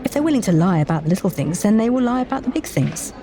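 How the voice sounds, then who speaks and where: A woman's voice: medium pitch, animated, fast talker, crowd noise, pitched above the performer's own voice, natural voice. A woman narrator voicing an earnest, guarded young professional woman in a romance audiobook drama. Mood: firm, hurt. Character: Mia, tentative, principled young professional woman.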